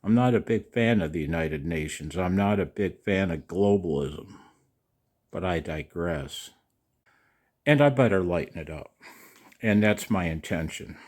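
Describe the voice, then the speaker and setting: An older man talking casually and in a rambling way to his viewers as a video host, folksy and halting, with a gravelly voice. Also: sits low, lively intonation, darkish tone. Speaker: amateur radio operator and video host. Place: outdoors in the cold.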